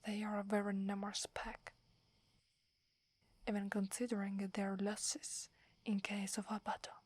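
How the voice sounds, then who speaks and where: A woman with an Italian accent playing a submissive, earnest female werewolf in a soft, breathy, hesitant ASMR whisper. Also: medium pitch, completely flat delivery, no echo. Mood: cautious, measured. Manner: hesitant, respectful, measured.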